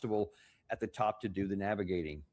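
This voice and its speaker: A man's voice: low-pitched, fairly quick, darkish tone. A man talking as host of a political interview, on a faint original track. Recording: clearly noisy, faint distant original track.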